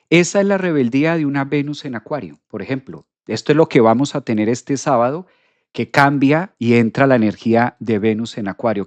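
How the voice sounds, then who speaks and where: A man talks straight to his viewers on a live astrology stream. His pitch sits in an ordinary middle range, and he repeats phrases for emphasis. The sound is dry and close, with no room echo. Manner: animated, repeats phrases, addresses audience directly. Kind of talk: live stream talk reading viewer comments.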